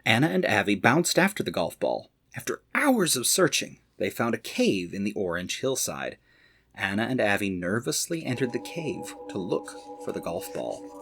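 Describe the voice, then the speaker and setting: A man reading to children as a storyteller, expressive, with dramatic emphasis. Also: on the low side, normal speed, gravelly, breathy edge.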